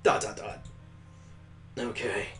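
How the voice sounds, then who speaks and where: A man voicing a dazed, deadpan, odd little girl from a visual novel, muttering flatly. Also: on the low side, slow pace, soft background music, voice pushed down. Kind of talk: visual novel character reading.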